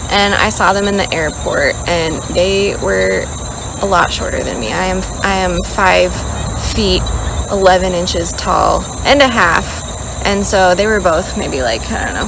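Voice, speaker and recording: A young woman in her twenties vlogging outdoors, telling a story in a chatty, animated way and acting out quotes. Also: mid-range voice, vocal fry, background noise. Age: 20s. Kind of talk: casual storytelling vlog. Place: outdoors in a rural area. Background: insects and crickets chirping.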